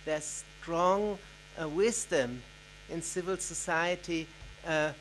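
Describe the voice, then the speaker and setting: A man from an NGO, asking a formal question from the floor at a conference in a measured, deliberate way. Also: a little high, fairly bright tone, a little hiss or hum, rough recording. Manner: measured, deliberate, with occasional uhs. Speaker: NGO representative, audience member. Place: conference hall.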